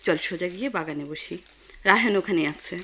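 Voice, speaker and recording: A woman's voice: fairly low pitch, fast, pushed and bright, a little hiss or hum. An actress playing a breezy, welcoming young mother in a Bengali radio drama, teasing and familiar.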